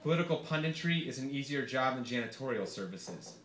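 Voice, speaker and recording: A man's voice: medium pitch, animated, fairly quick, fairly bright tone, roomy sound. A man giving a wry, performative live reading of his own satirical essay to a bar audience.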